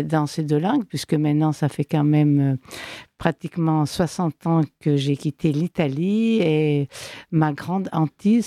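An older woman whose first language is Italian, a radio guest talking about her reading in a measured, reflective way with pauses. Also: deep voice, vocal fry, dark tone, no echo, studio quality. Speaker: radio guest, book club reader. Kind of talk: casual radio chat. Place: radio studio.

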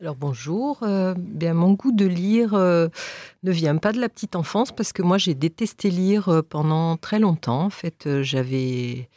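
A woman's voice: lowish voice, animated, a little slow, dark tone, dry recording, studio quality. A woman guest on a radio chat show, talking earnestly about reading and searching for her words. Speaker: radio guest, book club reader. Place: radio studio.

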